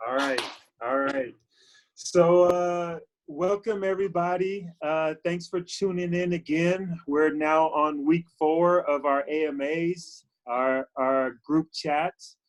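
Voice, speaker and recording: Man hosting a youth video call, a bit high, halting and full of fillers. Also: rough recording. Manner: halting, many fillers and restarts, energetic. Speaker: youth program host. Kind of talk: casual moderated chat.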